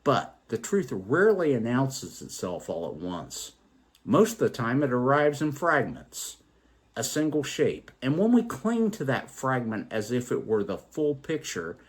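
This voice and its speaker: Slow, theatrical male storyteller with lively pitch. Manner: theatrical, emphatic, deliberate. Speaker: storyteller and host. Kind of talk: dramatic poetry recital and moral reflection.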